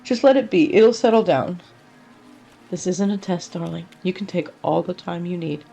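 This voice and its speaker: A woman voicing a patient, tender male angel with a coaxing, gently blunt manner in a story reading. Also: on the low side, normal speed, creaky, husky, soft background music. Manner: calls listener honey and darling, coaxing.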